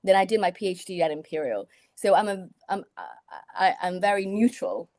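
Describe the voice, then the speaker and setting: A woman's voice: medium pitch, normal speed, darkish tone, no echo. Hesitant Taiwanese-born woman, dry sound. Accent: British English. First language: Mandarin. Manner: hesitant, many restarts and fillers. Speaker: surgeon, guest. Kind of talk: interview conversation.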